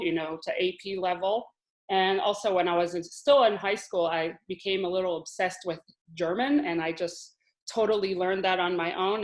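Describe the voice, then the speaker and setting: A woman's voice: mid-range voice, rough recording. A woman in her thirties, originally from Israel, talking casually as an interview guest about her life, and laughing often. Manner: chatty, laughs often, with restarts. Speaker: Hebrew teacher, guest.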